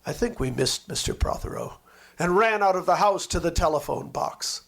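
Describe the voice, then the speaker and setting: A man narrating a literary memoir expressively, with measured, dramatic phrasing and lingering words. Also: sits high, expressive, gravelly, fairly bright tone.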